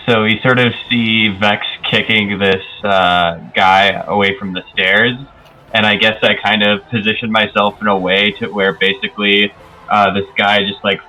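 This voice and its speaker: A man's voice: lowish voice, sharp tone, rough recording. A young man playing in a tabletop game, hesitantly describing his moves with many fillers. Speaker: player. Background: faint background music.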